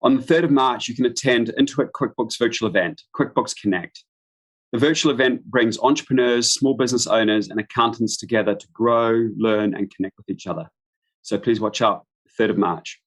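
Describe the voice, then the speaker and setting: A middle-aged man from New Zealand giving an informal live webinar introduction as a business expert, speaking in halting, stop-start phrases. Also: medium pitch, low-quality recording. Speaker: guest expert, business founder. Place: room at home.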